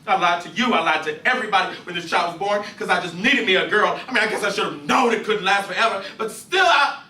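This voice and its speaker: A man voicing a distraught mother who pleads and apologizes in a high, breathy, often hushed voice. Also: fast. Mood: pleading. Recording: roomy, distant mic, faint hiss.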